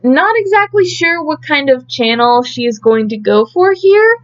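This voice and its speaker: A woman's voice: sits high, very animated, a little slow. A woman hosting an idol news update as a casual recap, chatty and a bit hesitant as she thinks aloud, on a slightly dull home recording.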